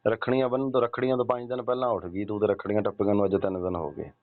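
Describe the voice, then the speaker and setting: A man's voice: on the low side, flat delivery, very fast, natural voice. A man playing a wheedling, insistent elderly father-in-law in a staged phone call, pressing and nagging with grunted question tags. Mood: wheedling, complaining. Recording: tinny narrow-band phone call.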